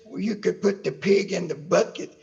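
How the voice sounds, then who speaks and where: A man playing a cranky, folksy old-timer who rambles in the style of old-time radio comedy, with a creaky voice. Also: high voice, moderate pace, a little mellow, muffled recording. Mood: storytelling.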